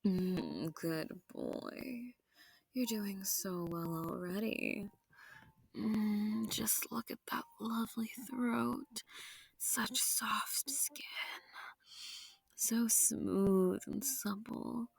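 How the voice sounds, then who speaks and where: A woman playing a centuries-old, sadistic and possessive female vampire in an intimate audio roleplay, silky and taunting, slipping into breathy whispers. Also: medium pitch, animated, drawn-out words, no echo, exaggerated voice. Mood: gloating, hungry. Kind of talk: intimate scripted audio roleplay addressed to the listener.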